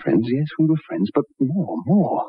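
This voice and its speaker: An actor playing an intense, rough-mannered lover pressing a married woman in a 1960s radio drama. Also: mid-range voice, very animated, normal speed, no echo. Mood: pleading, heated. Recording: muffled, narrow-band 1960s radio recording.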